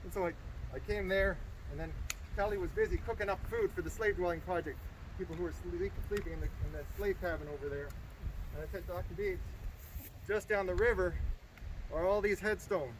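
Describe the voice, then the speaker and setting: A somewhat high-voiced man speaks earnestly to an outdoor gathering, telling his story with gratitude. Noise around him fills the open-air recording. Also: a little fast. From Estonia. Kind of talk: outdoor public speech, personal story. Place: outdoors by a river.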